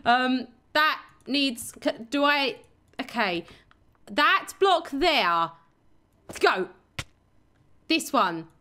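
Woman gamer, young adult, hoarse, high and bouncy pitch, giggly self-talk commentary. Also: animated, very slow, pauses often, sharp tone. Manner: chatty, giggly, talks to herself and the viewers. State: hoarse, losing her voice. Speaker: game channel host. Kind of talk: casual Minecraft let's play commentary.

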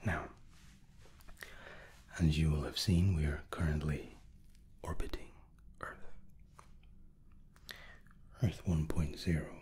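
A man in his thirties whispering softly and courteously as a flight attendant in an ASMR roleplay, close to the microphone. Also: a bass voice, steady tone, slight background noise. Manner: soft, slow, courteous attentive steward.

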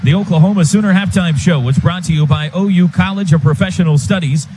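A man's voice: sits high, quick pace. An American man giving live football play-by-play on the radio in short, clipped phrases. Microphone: broadcast booth microphone. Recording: live stadium broadcast, faint ambient noise.

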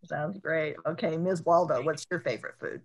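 An older woman moderating a panel over a video call from home, asking questions with halting repeats. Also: low-pitched, gravelly, breathy edge. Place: home room.

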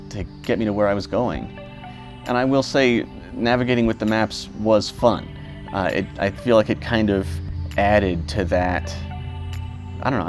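A young man talking casually about his motorcycle trip, relaxed and rambling with fillers and self-corrections. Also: on the low side. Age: young adult. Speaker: motorcycle vlogger. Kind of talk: casual reflective vlog talk.

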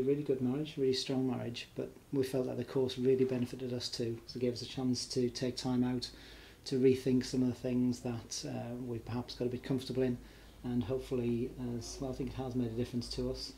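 Mellow, even-toned middle-aged man. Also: mid-range voice, a little fast, hardly any pauses, slight background noise, poor audio. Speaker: married couple sharing a testimonial. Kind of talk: casual testimonial. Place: living room sofa, indoors.

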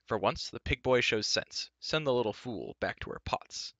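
A proud, bitter lordly warrior from a storybook, done by a male reader. He sneers with pompous contempt in a sharp, forceful tone. The recording is a touch dull. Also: mid-range voice, lively intonation, moderate pace, no echo. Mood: scornful.

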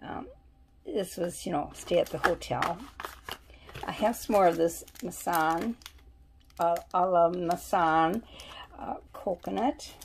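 A woman's voice: lowish voice, slow, gravelly, long pauses, a little hiss or hum. A woman at home showing her soap haul on camera, chatting and musing aloud as she reads labels. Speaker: vlogger. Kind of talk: casual shopping haul show-and-tell. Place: at home, indoors.

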